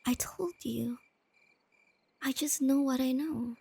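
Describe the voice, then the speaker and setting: A woman playing a shy, nerdy young girlfriend in a soft-spoken ASMR roleplay, sweet and earnest, explaining things gently and without hurry. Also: high voice, steady tone, slow pace, vocal fry, husky, dry recording, exaggerated voice. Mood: gentle, informative.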